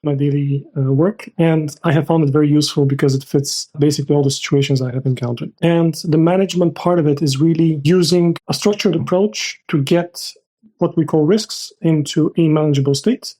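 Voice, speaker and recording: A middle-aged man speaking as an interview guest, explaining things in a measured, structured way with careful definitions. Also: a little high, dark tone. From Bulgaria. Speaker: podcast guest, risk manager.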